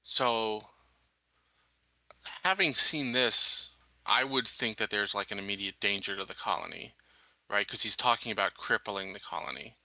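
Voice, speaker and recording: A man playing a tabletop roleplaying game, casually laying out plans with deliberate pauses between phrases. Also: fairly low pitch, pushed and bright, no room sound.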